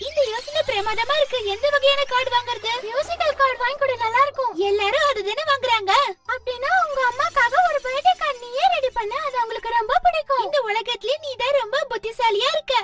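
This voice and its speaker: A woman voicing a sweet, eager young schoolgirl in a children's cartoon, expressive and childlike. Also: very high-pitched, fast talker. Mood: curious, eager. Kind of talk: Tamil-dubbed children's cartoon acting. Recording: distant sound under loud background music.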